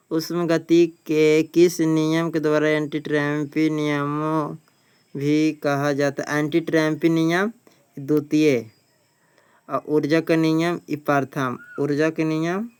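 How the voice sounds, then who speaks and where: A man teaches by reading out quiz questions and their answers. His voice is strikingly clean and smooth, pitched a little high, with very little melody. He is plain-spoken and often repeats a phrase. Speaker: teacher, exam coaching.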